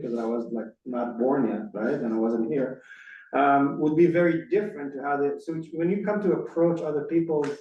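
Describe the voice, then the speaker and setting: Israeli rabbi in an echoey hall. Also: male voice, mid-range voice, a little mellow. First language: Hebrew. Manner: conversational, many restarts and "right?" tags. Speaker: rabbi leading a study session. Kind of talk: casual teaching talk to a congregation. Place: synagogue hall. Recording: echoey room, mic at a distance.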